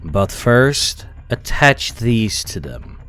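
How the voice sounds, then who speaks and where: A man's voice: fairly low pitch, big pitch swings, very slow, fairly bright tone, soft background music, exaggerated voice. A man playing a cold, imperious supervillain mastermind in a comic dub, with a commanding, theatrical manner. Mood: cold, scheming.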